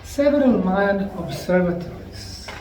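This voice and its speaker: A middle-aged man slowly narrating a documentary on location at ancient ruins, explaining the sites like a tour guide. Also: sits high, wildly swooping pitch, a little mellow, slight background noise. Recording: roomy, distant mic with faint outdoor ambience.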